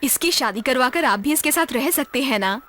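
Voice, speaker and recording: A plain-spoken housewife in a household film drama, with a high, bright, piercing voice. She talks in a nagging, domestic way. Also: animated, normal speed. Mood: chiding.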